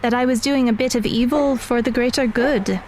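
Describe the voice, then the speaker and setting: A woman's voice: a little high, steady tone, moderate pace, darkish tone, natural voice. An actress playing a guarded, serious, bitter teenage girl in an audio drama. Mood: brooding. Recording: music behind the voice.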